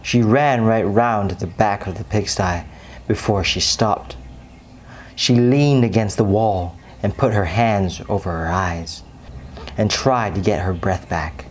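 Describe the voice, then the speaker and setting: A woman narrating a children's bedtime story expressively, with dramatic pauses and deliberate emphasis. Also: rumbling low, darkish tone, slight background noise.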